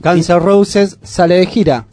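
Un conductor de radio argentino que lee las noticias del panorama informativo con ritmo ágil. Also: male voice, sits high, fairly even intonation, measured pace, music in the background. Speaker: radio news co-host. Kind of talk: news roundup reading.

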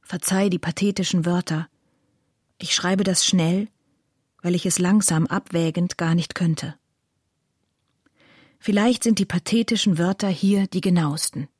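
An actress playing a sombre woman who reads a confessional letter in a radio play, husky and restrained. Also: fairly low pitch, a little slow, long pauses, darkish tone, no room sound, studio quality. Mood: grave, restrained.

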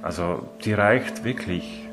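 Deep-voiced, halting man reflecting. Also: background noise. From Poland. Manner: halting, emphatic, searching for words. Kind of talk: reflective personal testimony. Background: music.

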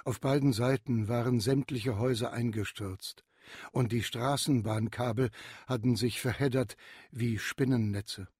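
A man acting as a disaster survivor who narrates gravely in a radio drama, with a husky voice. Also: mid-range voice, fairly even intonation, darkish tone, no room sound, clean studio sound. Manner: slow, grave delivery. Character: male disaster survivor recounting horrors, grave.

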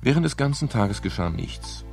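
A man playing a modest, wry private detective in a radio crime play, dry and measured, with a gravelly, husky voice. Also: mid-range voice, big pitch swings, a little mellow, soft background music. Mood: dry narration. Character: modest, wry male private detective, narrating his case. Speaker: actor in a radio crime drama. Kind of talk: 1970s German radio play, narration and dialogue.

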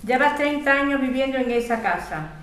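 Una actriz con acento castellano que interpreta a una vecina combativa y protectora en una ficción sonora. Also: female voice, sits high, expressive, normal speed, a bit bright. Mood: indignant, protective. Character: Turi, combative, protective neighbour woman. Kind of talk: scripted audio drama. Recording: echoey room, background noise.

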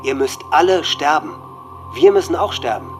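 A male actor plays a teenage school shooter in a radio drama. The young man boasts with cold menace, delivering deliberate threats in a slightly raised voice. Faint music sits underneath. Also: on the high side, expressive, close to an everyday voice. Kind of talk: documentary radio drama acting.

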